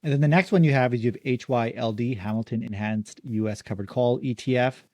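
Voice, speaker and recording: Casting: male podcast host, mellow tone, dry close sound, brisk and chatty. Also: medium pitch, dry recording. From Canada. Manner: upbeat, quick, leans on "like" and "uh". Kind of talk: casual interview.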